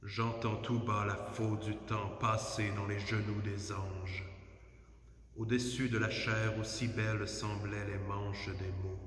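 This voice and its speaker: A man giving a solemn, measured, declamatory poetry recitation inside a church. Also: on the low side, little pitch movement, fairly bright tone. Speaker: poetry reader. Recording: very echoey church, long reverb.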